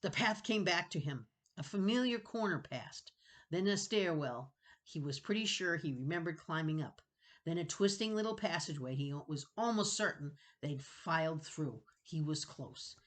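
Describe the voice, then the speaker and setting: A woman's voice: sits low, expressive, normal speed. A woman narrating a children's novel dramatically, reading with expression and suspense. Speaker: storybook reader.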